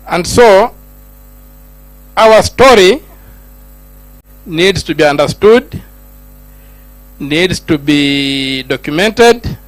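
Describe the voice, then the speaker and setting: Kenyan man, high-pitched speech. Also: animated, extremely slow, long pauses, no echo, poor audio. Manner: switches between Swahili and English, rising emphatic lines. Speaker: senator giving a speech. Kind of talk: public speech at a gathering.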